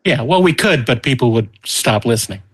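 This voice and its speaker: A man co-hosts a podcast in casual banter. His voice sits at an average male pitch. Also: lively intonation, brisk, a bit bright, breathy edge. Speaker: podcast co-host.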